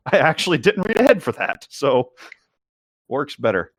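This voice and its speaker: A man's voice: fairly high pitch, sing-song, unhurried, dry recording, studio quality. A man reading aloud and chatting casually, with informal, hesitant asides and mild swearing. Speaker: podcast co-host reading a story aloud.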